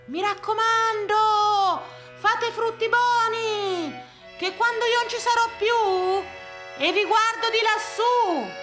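A woman voicing a tender old grandfather farmer who talks to his plants in a slow, exhorting way, in a theatrical monologue. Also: very high-pitched, fairly bright tone, soft background music, voice pushed up, exaggerated voice.

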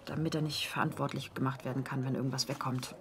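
A woman's voice: a bass voice, flat delivery, fast. An actress playing a young woman who secretly passes on documents, in a radio drama. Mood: confiding.

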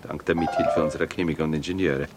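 Grim gentleman, very low, terse delivery, loud music underneath. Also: steady tone, quick pace. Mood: grave, resigned. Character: grave gentleman customer, grimly certain of war. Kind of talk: radio drama acting.

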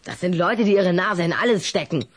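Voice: mid-range voice, a little fast, close to an everyday voice. An actor playing a cheeky, reluctant young schoolboy who talks in a grudging, questioning way in a children's audio drama. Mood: defiant.

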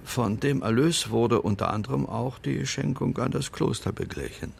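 An actor playing a formal, discreet older man in a radio drama, speaking in measured phrases with a gravelly voice. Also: medium pitch, slight background noise. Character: formal, discreet older male confidant recounting family secrets.

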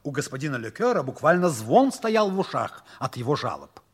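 A man's voice: on the high side, very animated, brisk, husky. A man giving dramatic, mock-solemn scripted narration for a 1960s Soviet radio play. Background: music.

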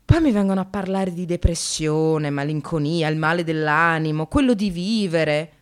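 An actress playing a bitter, wry, educated receptionist in precarious work, delivering an expressive theatrical radio monologue. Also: female voice, low-pitched, normal speed, smooth, close to an everyday voice. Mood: bitter, reflective. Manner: sardonic, expressive, swearing, addressing the audience.

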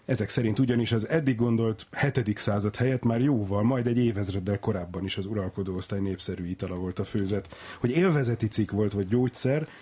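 A man's voice: fairly low pitch, dark tone, slightly rough. A man hosting a panel talk show in a radio studio. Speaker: radio host.

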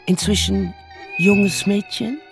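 Creaky-voiced lyrical storyteller. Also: female voice, medium pitch, steady tone, slow, loud music behind the voice. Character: lyrical storyteller of a girl's dreams. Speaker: narrator. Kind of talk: scripted literary radio-play narration.

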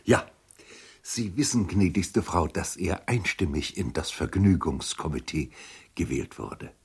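An actor playing a harmless, deferential gentleman in a radio play, courteous and hesitant, with a raspy, gravelly voice. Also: low-pitched, lively intonation, measured pace, heavily acted. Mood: deferential, regretful. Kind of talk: German radio play acting.

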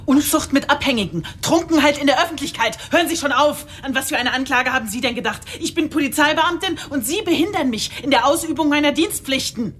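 A woman's voice: high-pitched, fairly quick, few pauses, a bit bright. An actress playing a brusque, impatient chief inspector in a radio drama, blunt and sarcastic in her commands. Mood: impatient, threatening.

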